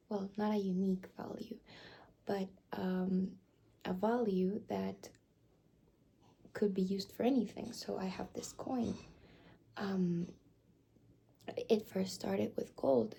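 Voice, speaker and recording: A young woman whispers and murmurs her way through an ASMR roleplay, unhurried and slow. Her low voice is husky and gravelly, with a mellow, dark tone. She hesitates often and fills gaps with soft fillers. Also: slight background noise. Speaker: ASMR creator.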